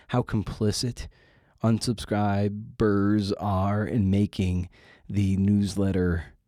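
A man's voice: lowish voice, dark tone, no echo, clean studio sound. A man hosting a podcast with a casual monologue, talking in a slangy, irreverent, self-deprecating way. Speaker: podcast host.

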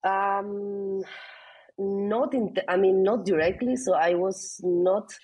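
A woman's voice: medium pitch, steady tone, slow pace, dark tone, slight background noise, poor audio. A woman, talking casually in an interview about her family, with many restarts and "I mean"s. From Spain. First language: Spanish. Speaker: interviewee, mother.

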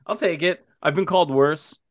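A man, a horror writer who teaches English, chatting on a podcast, laughing easily and telling quick anecdotes. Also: a little high, dry recording, studio quality. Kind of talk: casual podcast chat.

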